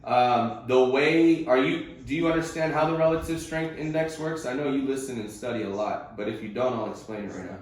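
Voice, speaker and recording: A man's voice: mid-range voice, brisk, few pauses, heavy reverb. A man coaching a group on a conference call, explaining things informally and conversationally with casual slang. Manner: casual and conversational, uses slang like bro and bud.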